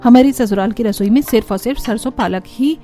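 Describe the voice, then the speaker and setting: A woman's voice: a little high, a little fast, warm tone, faint music behind, natural voice. A woman voicing a weary, grumbling elder daughter-in-law with a resigned, knowing manner in a cartoon story.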